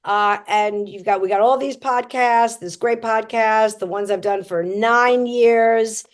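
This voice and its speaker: A woman's voice: a little high, steady tone, measured pace. A woman comedian hosting a podcast interview, chatting in a jokey, self-promoting way. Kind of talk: casual interview chat.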